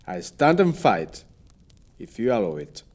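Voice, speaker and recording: A man playing a proud, weary Spanish sea captain in an audio play, speaking formal verse in a measured, rhetorical way. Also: fairly low pitch, big pitch swings, a little mellow. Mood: weary resolve.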